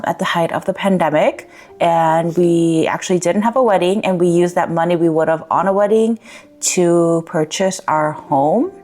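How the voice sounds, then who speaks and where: A young woman giving a candid personal vlog update, rambling a little with fillers, her voice slightly raspy. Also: lowish voice, a little mellow. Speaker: vlogger.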